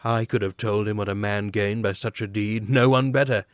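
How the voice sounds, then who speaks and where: A man's voice: lowish voice, fairly even intonation, normal speed, no room sound, studio quality. A man giving a grave, theatrical reading of a literary story as an audiobook narrator. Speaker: audiobook reader. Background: background music.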